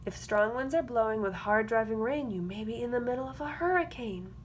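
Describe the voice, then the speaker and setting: A woman reading a children's picture book aloud as a teacher, with expressive, rhythmic delivery. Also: a little high, fairly quick. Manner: rhythmic, expressive delivery of rhyming verse with explanatory asides. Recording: clear background noise.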